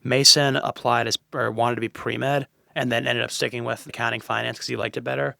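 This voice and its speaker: A man's voice: medium pitch, flat delivery, fairly quick, gravelly, pushed and bright, dry recording, studio quality. A young man hosting a casual interview podcast, asking long, loose, rambling questions. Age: young adult. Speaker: podcast host.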